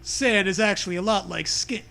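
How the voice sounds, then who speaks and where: A man's voice: sits high, moderate pace, vocal fry, sharp tone, a little hiss or hum. A man co-hosting a comic dramatic reading of a horror story, with crude, jokey asides. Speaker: co-host, reader.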